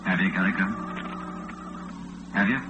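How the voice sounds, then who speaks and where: An actor playing a smitten boyfriend in the manner of a 1940s radio drama. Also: male voice, deep voice, flat delivery, unhurried, over music. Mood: uneasy. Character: low-voiced smitten boyfriend back after a quarrel. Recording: dull, noisy old radio recording.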